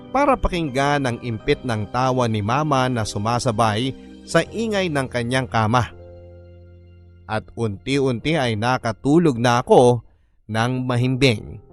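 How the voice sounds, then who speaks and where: A man with a Filipino accent reading a scripted first-person story as narrator. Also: medium pitch.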